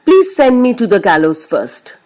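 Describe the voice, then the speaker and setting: Courteous, clever vizier, voiced by a woman, lively intonation. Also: medium pitch, normal speed, lightly acted. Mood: persuasive.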